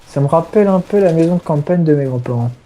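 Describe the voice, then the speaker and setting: A man's voice: on the high side, animated, fast talker, dark tone, rough recording. A young man with a French accent playing a shooter game, laid-back and giggly, wandering off into rambling asides. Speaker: gamer. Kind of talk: casual game commentary.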